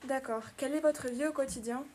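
A woman's voice: high-pitched, a little fast, warm tone, slight background noise. A woman journalist asking polite, scripted interview questions.

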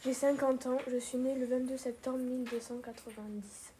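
A woman playing a fifty-year-old male hobbit who loves his tea, answering plainly and calmly in an amateur role-play interview. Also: high voice, normal speed, slight background noise, lightly acted, rough recording.

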